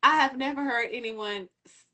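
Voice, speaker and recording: Woman, interview host, bright voice, excitable, affirming, casual. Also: a little high, normal speed. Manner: excitable, affirming, starts with "listen".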